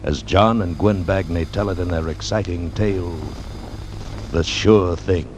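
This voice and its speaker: A man's voice: low-pitched, unhurried, heavy background noise. A man giving a dramatic programme introduction in 1940s radio style, on an old broadcast recording. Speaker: radio announcer.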